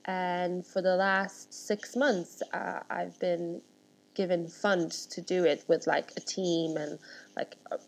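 A woman's voice: fairly low pitch, level tone, slow pace, creaky. A woman from Sweden, a startup founder chatting as an interview guest, rambling with many restarts. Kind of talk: casual interview chat.

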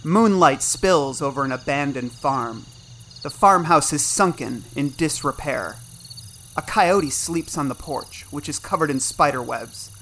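A man narrating a scripted audio drama in a deliberate, descriptive manner. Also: fairly high pitch, pushed and bright, a little hiss or hum.

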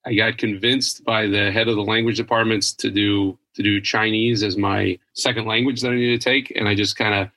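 Guest executive from Idaho, thirties, bright but level voice, thoughtful, restarts a lot. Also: male voice, on the low side, little pitch movement, normal speed, hardly any pauses. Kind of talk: interview answers.

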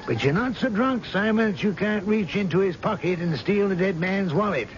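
A man's voice: high voice, animated, moderate pace. A man playing a suspicious, insistent police chief interrogating a suspect in a radio drama. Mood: accusing, pressing. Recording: dull, noisy old radio broadcast.

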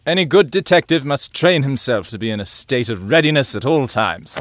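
A man playing a cocky, dry-witted Victorian gentleman detective, pompous and teasing, in a comedy audio drama. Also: medium pitch, expressive, a little fast, heavy background noise, lightly acted.

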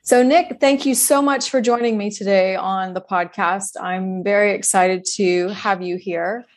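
A woman's voice: mid-range voice, fairly even intonation, unhurried. A woman hosting a podcast interview, introducing her guest and asking questions in an earnest, conversational way. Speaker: podcast host.

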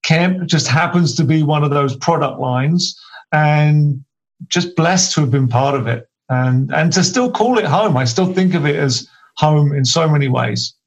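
A man's voice: a little high, unhurried. British man over dull video call. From United Kingdom. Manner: wry, dry humor. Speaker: guest, business consultant. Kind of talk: casual interview chat.

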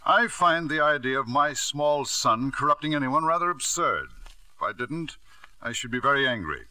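An actor playing a ruthless self-made millionaire father, narrating and acting in a 1940s radio drama with a crisp, sardonic delivery. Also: medium pitch, lively intonation, normal speed, bright, forceful tone. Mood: cool menace. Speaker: narrator and lead character. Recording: dry old radio broadcast.

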